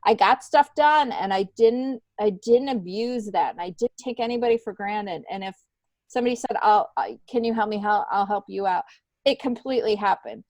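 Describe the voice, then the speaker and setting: A woman's voice: mid-range voice, normal speed, darkish tone, no echo. A middle-aged woman speaking candidly and reflectively in an informal interview, repeating points for emphasis. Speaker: podcast guest, stand-up comedian.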